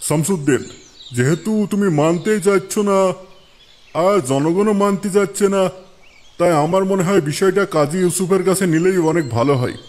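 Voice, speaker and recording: A man voicing a respected, calm older village elder in a moral cartoon, measured and conciliatory. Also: high voice, lightly acted. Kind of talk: Islamic moral cartoon dialogue.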